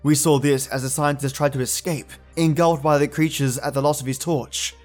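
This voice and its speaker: Friendly male podcast host, highish voice. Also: fairly even intonation, moderate pace, a little mellow, faint music behind. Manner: friendly, chatty. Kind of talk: podcast commentary.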